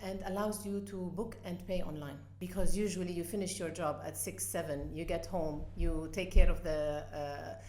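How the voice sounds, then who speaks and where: A middle-aged woman from Lebanon, a company co-founder, talking casually in an interview with many pauses and fillers. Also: on the low side, fairly even intonation, a little mellow. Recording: echoey room with faint ambience.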